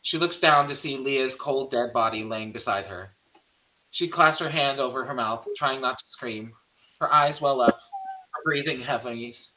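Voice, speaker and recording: A man's voice: mid-range voice, a little slow, pushed and bright, muffled recording, slight background noise. A man reading the stage directions of a horror screenplay in plain scripted narration.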